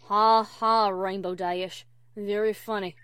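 A woman's voice: medium pitch, flat delivery, slow pace, a little mellow, pitched below the performer's own voice, close to an everyday voice. A woman voicing a sturdy, plain-spoken female farm pony in a cartoon fan story, with a dry, folksy, blunt manner. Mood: deadpan, annoyed.

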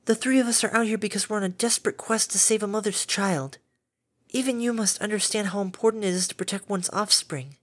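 A woman voicing a timid, gentle female pegasus pony who speaks softly and soothingly. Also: mid-range voice, fairly quick, voice pushed up, close to an everyday voice. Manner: soft, soothing, gently pleading.

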